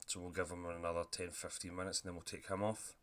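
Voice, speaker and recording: Male gaming commentator, deep voice, sore throat, relaxed chatty delivery with frequent throat-clearing. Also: quick pace. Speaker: gaming YouTuber. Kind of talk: casual commentary over a football management game.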